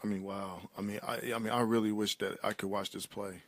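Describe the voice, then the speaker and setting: A man with a low, flat-pitched voice, recorded dry and close. He hosts a casual interview, sincere and halting, circling back over his phrases as he goes. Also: no room sound. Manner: sincere, hesitant, repeats phrases. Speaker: talk show host.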